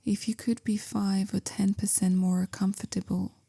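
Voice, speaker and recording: A woman guiding a meditation in a gentle, calm, measured way, softly spoken with whispery phrase endings. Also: mid-range voice, creaky, breathy edge. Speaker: meditation guide, yoga teacher. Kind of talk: guided meditation, slow soothing instruction.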